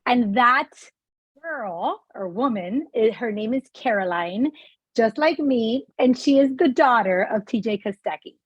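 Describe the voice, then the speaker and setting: A woman in her forties hosting an interview, telling stories in an animated way over a video call. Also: on the high side, unhurried, breathy edge, no echo, highs cut off. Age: 40s. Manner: animated, storytelling. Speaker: podcast host. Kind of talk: conversational interview.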